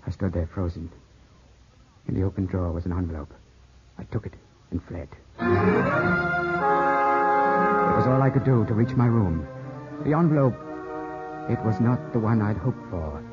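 A man's voice: fairly low pitch, lively intonation, moderate pace, long pauses, lightly acted. An actor playing an anguished, suspicious young Englishman in love, intense and brooding, in 1950s radio drama style. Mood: tense, brooding narration. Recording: dull 1950s radio broadcast, music behind.

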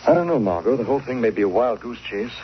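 An actor playing a calm, commanding gentleman sleuth in 1940s radio drama style. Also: medium pitch, fast, close to an everyday voice. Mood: calm, assured. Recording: muffled old radio recording with noise.

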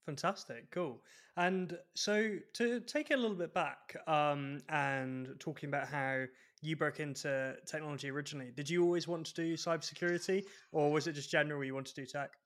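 A man's voice: fairly high pitch, a little fast, fairly bright tone. A man hosting a podcast, talking in a chatty way and laughing easily. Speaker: podcast host. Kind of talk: casual podcast interview.

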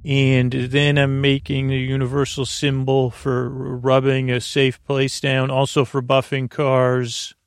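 An American man hosting a bedtime podcast in a creaky, soothing voice, meandering and stumbling through a halting monologue. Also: medium pitch, completely flat delivery, no echo, studio quality. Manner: meandering, halting, stumbling over words and drifting off on tangents; soothing, lulling. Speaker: podcast host.

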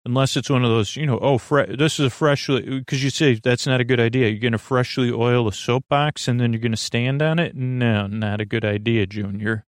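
A man hosting a sleep podcast in a creaky voice, meandering hesitantly and soothingly from one digression to the next. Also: medium pitch, fairly quick, hardly any pauses, dry recording, clean studio sound. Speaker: podcast host.

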